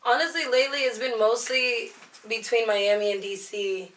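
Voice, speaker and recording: A young woman from Virginia who grew up speaking both Spanish and English. She is a hip hop and R&B artist talking casually at a lounge venue, in a relaxed and upbeat way with plenty of slang. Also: on the high side, moderate pace, distant mic. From Alexandria, Virginia. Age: young adult. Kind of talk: casual interview. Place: busy lounge venue before a show. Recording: roomy venue sound, from off-mic.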